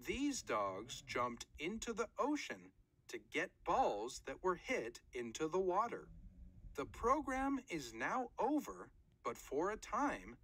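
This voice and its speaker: A woman's voice: low voice, fairly bright tone, slightly rough. A woman reading a children's nonfiction book aloud in short, careful phrases, like a read-aloud narrator.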